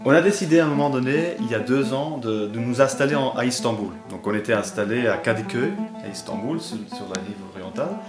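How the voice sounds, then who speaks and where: A man explains in a documentary, hesitantly repeating little words as he goes. His voice sounds roomy, and clear music plays behind him. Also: medium pitch, expressive, quick pace, hardly any pauses. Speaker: oud player.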